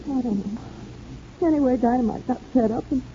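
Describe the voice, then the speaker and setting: Woman acting a grieving widow, bitter, earnest, higher voice, 1940s radio drama, thin hissy recording. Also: moderate pace, dark tone, muffled recording, natural voice. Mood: weary, earnest.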